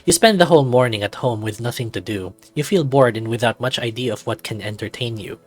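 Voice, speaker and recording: A man's voice: mid-range voice, brisk, faint music behind. A man reading a visual novel as a narrator, with casual, musing asides. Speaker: visual novel reader and commentator.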